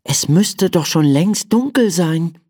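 A storyteller as a high-voiced, curious little boy. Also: expressive, slow, dark tone, husky, no echo, studio quality. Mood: puzzled. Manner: wide-eyed, eager. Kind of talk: children's storybook character voice.

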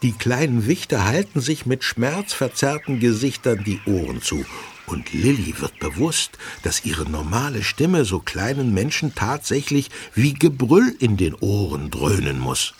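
A man narrating a children's story in an expressive, dramatic, husky voice. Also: mid-range voice, unhurried, slight background noise. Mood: vivid, suspenseful. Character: lively male storyteller.

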